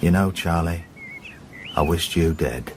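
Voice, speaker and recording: A middle-aged police inspector with a very deep voice. He is terse, dry and quietly probing in a naturalistic radio drama. Background noise sits clearly behind him. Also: a little slow. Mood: wistful, reflective. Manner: understated, terse, sardonic questioning.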